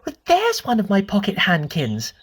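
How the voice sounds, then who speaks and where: Woman as a curious small girl, raised pitch, swooping intonation, childlike, outspoken, storybook character. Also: huge pitch swings, normal speed, a little hiss or hum. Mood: pleased. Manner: high, childlike questioning, plain-spoken.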